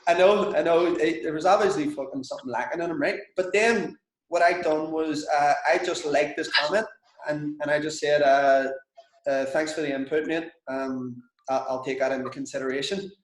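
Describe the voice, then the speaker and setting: A man's voice: fairly high pitch, steady tone, moderate pace, reverberant, low-quality recording. A man with a Belfast accent coaching a business group in a frank, chatty, sweary way. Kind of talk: casual coaching session with students.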